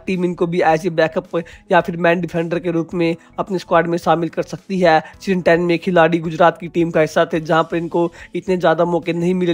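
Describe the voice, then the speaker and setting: A man narrates a sports breakdown in a high, fairly flat voice. He keeps a steady explanatory tone and speaks to viewers as friends. The sound is dry and close, with no room around it. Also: dry recording. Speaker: kabaddi video presenter. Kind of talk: scripted voice-over analysis.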